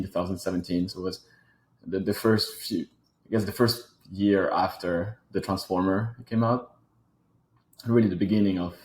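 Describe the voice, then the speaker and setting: A French-accented man with a fairly low voice, talking in an echoey room. He speaks in a thoughtful, halting way and often repeats a word before going on. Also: darkish tone. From Switzerland. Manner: thoughtful, repeats words while searching. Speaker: guest, robotics company founder. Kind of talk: casual interview talk.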